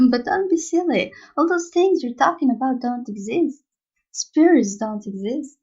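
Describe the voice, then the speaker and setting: Woman acting skeptical female mortal, motion comic, dismissive, husky, slightly high voice, dull lo-fi sound. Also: animated, measured pace, darkish tone, exaggerated voice. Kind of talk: scripted motion comic dialogue.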